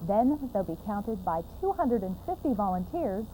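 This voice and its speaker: A woman's voice: medium pitch, sing-song, normal speed, mellow, poor audio. An American woman reading scripted television news as a presenter, heard through control-room monitoring under crew chatter. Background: director's cues and faint noise.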